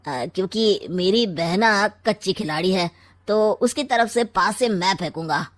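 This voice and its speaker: A woman's voice: medium pitch, unhurried, close to an everyday voice. A woman voicing a sly, sarcastic, scheming older uncle in a comic family story, with a theatrical drawl. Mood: gloating. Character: scheming, sarcastic maternal uncle, middle-aged or older man.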